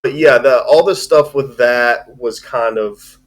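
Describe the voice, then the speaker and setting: A man in a casual film discussion, speaking at a middling pitch. He rambles as he goes, stopping and restarting his thoughts, and swears freely. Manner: rambling, halting, often swearing. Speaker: movie review show host.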